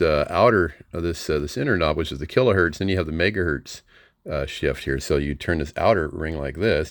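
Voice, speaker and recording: A man's voice: a bass voice, no room sound. A middle-aged man giving a casual, unscripted demonstration of equipment in a folksy, hesitant, plain-spoken way. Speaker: ham radio hobbyist presenting a receiver.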